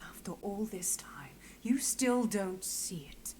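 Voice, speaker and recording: An actress playing a proud, coolly formal marshal in a game, speaking in a deliberate, pointed way. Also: female voice, medium pitch, lively intonation, warm tone, in a whisper. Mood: hurt, cold. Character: proud female marshal, wounded, coolly formal guild loyalist.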